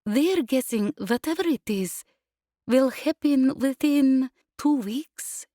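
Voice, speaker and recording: A woman reader puts on an earnest female security guard in an audiobook story. Her voice is pitched up, forthright and emphatic. The recording is dry. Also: lively intonation, slow, mellow, no room sound, full character voice.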